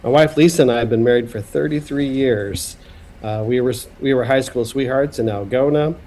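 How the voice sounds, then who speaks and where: A man's voice: mid-range voice, normal speed, a little mellow. A man in his fifties from Iowa, speaking as a podcast guest over a video call in a plainspoken, friendly way with light humor. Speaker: guest, school superintendent. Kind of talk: podcast interview.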